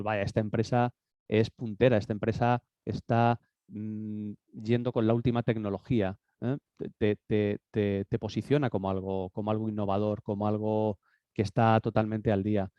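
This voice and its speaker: A man with a cold gives an informal seminar talk over a video call from his office desk. His voice is low and mellow. He hesitates and restarts often, and the sound is dull and dry. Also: little pitch movement, moderate pace, smooth, no room sound. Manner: hesitant, many fillers and restarts. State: has a cold. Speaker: speaker at a business seminar. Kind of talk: informal presentation.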